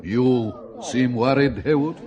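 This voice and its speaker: A man's voice: mid-range voice, slow pace, highs cut off, a crowd in the background, lightly acted. A man playing a big, hearty Russian with a Russian accent, teasing and mock-courtly in a radio drama. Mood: teasing, jovial. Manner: expansive, mock-courtly, chuckling.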